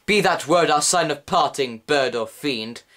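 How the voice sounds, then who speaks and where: A grief-maddened scholar from a gothic poem, acted by a man, declaims in a raised, high-pitched voice. He pleads and rails with impassioned, desperate force in a theatrical verse style. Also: expressive, unhurried, a bit bright. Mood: furious, shrieking. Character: grief-maddened scholar shrieking at an ominous bird. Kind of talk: dramatic gothic poetry reading.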